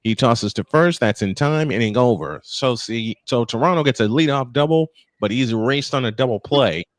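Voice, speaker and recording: A man's voice: medium pitch, normal speed, no echo. A man doing live play-by-play baseball commentary, breaking into folksy, joking asides with listeners. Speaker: play-by-play baseball announcer and stream host. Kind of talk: live sports commentary mixed with casual chat.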